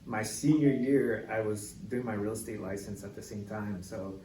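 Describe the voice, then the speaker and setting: Young man, relaxed and distant in echoey room. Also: fairly low pitch, normal speed. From Mexico City. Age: young adult. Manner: relaxed, filler-heavy storytelling with many "like"s. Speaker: real estate agent, podcast guest. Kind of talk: casual interview chat. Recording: echoey, distant mic with clear background noise.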